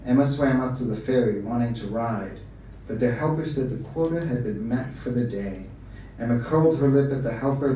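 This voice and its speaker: A man reading a short story aloud to a live audience, his pitch sitting right in the middle, calm and measured. The room is very echoey and he is picked up from some distance, with a faint hum of the venue underneath. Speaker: story reader. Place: bar event room, indoors.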